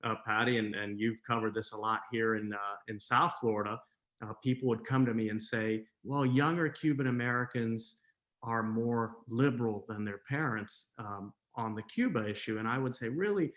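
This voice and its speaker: Thirties male guest, low-ish bright voice, measured explainer on a video call. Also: dull audio. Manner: measured, explanatory, many fillers. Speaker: guest commentator. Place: home room.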